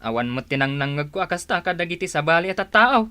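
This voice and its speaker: Earnest, bright-voiced village man. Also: a little high, lively intonation, fast talker. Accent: Ilocano. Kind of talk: Ilocano radio drama acting.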